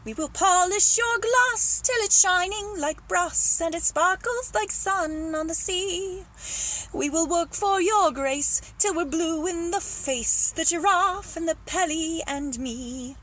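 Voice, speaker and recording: A woman voicing an excitable, jaunty monkey in a children's storybook, exclaiming and breaking into sing-song. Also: very high voice, normal speed, hardly any pauses, a little hiss or hum, pitched above the performer's own voice, full character voice, poor audio. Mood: sing-song, jubilant. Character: excitable, jaunty male monkey who sings rhymes.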